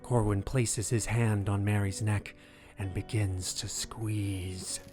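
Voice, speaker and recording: A man narrating a dark historical audio drama as a solemn, scripted storyteller. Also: fairly low pitch, fairly even intonation, slow, soft background music.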